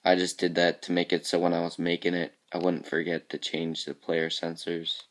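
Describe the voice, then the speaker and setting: A man walking through how he built his game levels, talking casually with a groggy, raspy voice because he has just woken up, often trailing off into quiet mumbles. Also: sits low, monotone, moderate pace. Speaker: level creator showing his game work. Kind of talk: casual screen-capture walkthrough.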